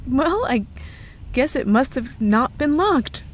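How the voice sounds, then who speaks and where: A woman acts out a cheerful young girlfriend hiding that she is a witch. Her voice sits fairly high and she takes her time, with hesitant pauses. She is playful and evasive, putting on innocence in an intimate audio roleplay. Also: big pitch swings, a little hiss or hum, full character voice. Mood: casual, evasive. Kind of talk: ASMR roleplay, scripted one-sided dialogue.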